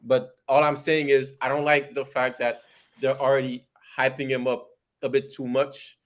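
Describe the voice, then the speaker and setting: A young man with a Haitian accent, co-hosting a podcast and arguing about football with animated energy. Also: mid-range voice, normal speed. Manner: animated, laughs and cuts in. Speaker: podcast co-host. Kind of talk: casual football debate.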